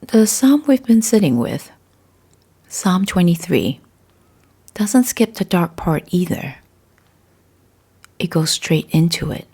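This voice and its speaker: A woman with a low, dark voice leads a guided spiritual meditation. She speaks very slowly and deliberately, in short phrases with pauses between them. The sound is soft, breathy and husky. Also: sing-song. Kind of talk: scripted guided meditation, gentle spiritual talk.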